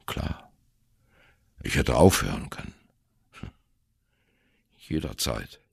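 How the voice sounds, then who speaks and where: A man's voice: rumbling low, big pitch swings, slow, gravelly, breathy edge, full character voice. An actor playing a wry, disillusioned ageing jazz musician who recalls his band years in a musing, conversational radio-play narration. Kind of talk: first-person reminiscing narration in a radio play.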